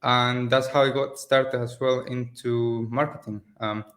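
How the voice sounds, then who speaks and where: A man's voice: medium pitch, a little slow. Spanish guy, easygoing interview guest. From Spain. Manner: relaxed, loose non-native phrasing with frequent restarts. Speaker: agency owner, guest. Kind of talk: casual interview over a call. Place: rented office in Mexico. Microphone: video call.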